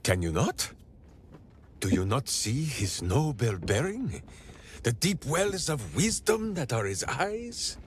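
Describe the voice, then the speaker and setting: A man's voice: mid-range voice, very animated, slow, a little hiss or hum. A man playing a big-hearted, simple warrior ranger in a video game, bombastic and oddly formal. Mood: friendly, boastful. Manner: bombastic, refers to himself in third person, oddly formal phrasing. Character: big-hearted, simple heroic ranger warrior who talks through his hamster. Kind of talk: theatrical video game character acting. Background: background music.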